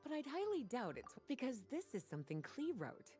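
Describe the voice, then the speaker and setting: A woman's voice: fairly high pitch, huge pitch swings, fairly quick, dark tone, soft background music. A woman playing a composed, playful grown-up librarian lady in an anime-style video game, with a coaxing, teasing manner. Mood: wry.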